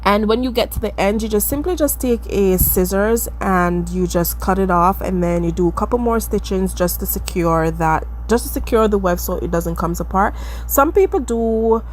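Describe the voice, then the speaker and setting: A woman from the Caribbean with a stuffy, allergy-blocked nose chats casually through a wig-making tutorial, calling her viewers "guys" and laughing off her own skills. Her voice sits at a middle pitch. A faint hum runs under the recording. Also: normal speed. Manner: chatty, addresses viewers as guys, self-deprecating. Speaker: beauty vlogger.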